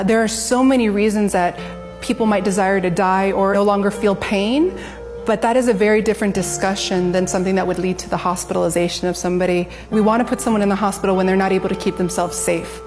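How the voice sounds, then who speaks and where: A woman who works as a mental health professional, explaining in a calm, measured way. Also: mid-range voice, in a large hall, music in the background. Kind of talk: explanatory interview.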